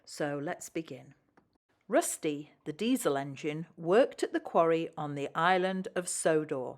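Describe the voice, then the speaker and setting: A woman reads a children's story aloud with a very lively, varied pitch. Her delivery is expressive, as a storyteller addressing young listeners.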